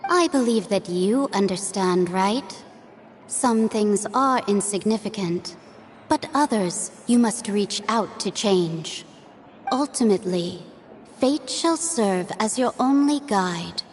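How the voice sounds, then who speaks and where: A woman playing a mysterious, serene otherworldly guide in a video game, speaking in a measured, formal, enigmatic manner. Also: medium pitch, slight background noise.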